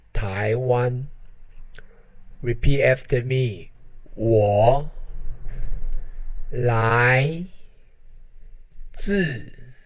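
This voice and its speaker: A man, teaching a language lesson slowly with lots of repetition. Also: mid-range voice, no room sound. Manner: slow, deliberate, drawn-out syllables.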